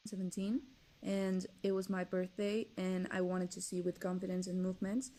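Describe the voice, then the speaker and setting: A woman's voice: lowish voice, completely flat delivery, moderate pace, mellow. A woman telling a story in English, casual and a bit hesitant. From Mexico. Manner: casual, slightly hesitant with fillers. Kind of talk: storytelling vlog.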